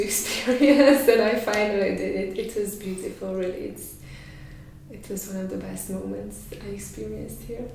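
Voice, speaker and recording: A woman's voice: medium pitch, darkish tone. Hesitant woman in an echoey room. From Romania. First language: Hungarian. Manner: hesitant, thoughtful, pauses with many fillers. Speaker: artist in residence. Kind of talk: reflective interview answers. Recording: very echoey room, mic at a distance, faint noise.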